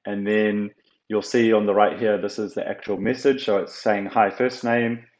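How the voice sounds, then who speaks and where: A man presenting a software tutorial as an unscripted screen-share walkthrough, halting often with ums and uhs. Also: lowish voice, flat delivery. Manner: hesitant, halting explanation with frequent ums and uhs. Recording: roomy, echoing, slightly dull sound.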